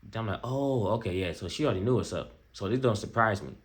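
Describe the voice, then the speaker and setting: A man's voice: on the low side, fast talker. An American man giving off-the-cuff reaction commentary in a casual, slangy way, often repeating himself and checking in with the listener. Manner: slangy, repetitive, conversational asides to viewer. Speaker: reaction video host.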